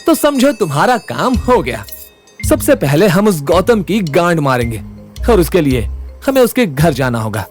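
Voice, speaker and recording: Male actor as brash young man, blunt, confident, higher-than-average pitch, audio drama over soft music. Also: sing-song, normal speed. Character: brash, foul-mouthed, confident young man who takes charge. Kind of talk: Hindi audio drama acting.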